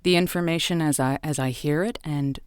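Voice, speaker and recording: A woman plays a waffling radio advice host who hedges, stammers and restarts, eager to please every caller. Her voice sits a touch low, recorded close and dry. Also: brisk, smooth, dry recording, lightly acted. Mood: flustered. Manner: hesitant, stumbling and restarting. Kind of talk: improvised audio drama.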